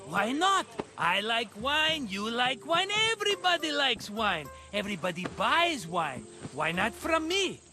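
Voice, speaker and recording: An actor playing a scheming, jovial merchant with an effusive, wheedling way of talking in a video game cutscene. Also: male voice, very high voice, very animated, unhurried, few pauses, pushed and bright, faint music behind, heavily acted. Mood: cheerful, evasive. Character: scheming, jovial merchant turned amateur vineyard owner.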